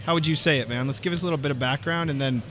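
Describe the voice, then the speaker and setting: A man's voice: fairly high pitch, racing, fairly bright tone, a little hiss or hum. A man hosting a podcast interview, talking casually and loosely, with frequent restarts. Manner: friendly, loose, many restarts. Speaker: podcast host.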